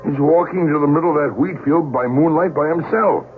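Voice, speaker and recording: A man's voice: fairly high pitch, a little fast, faint music behind, lightly acted. An actor playing a blunt, agitated, skeptical old man in a vintage radio drama, exclaiming in disbelief. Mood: alarmed, incredulous. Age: older. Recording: noisy, narrow-band old radio broadcast.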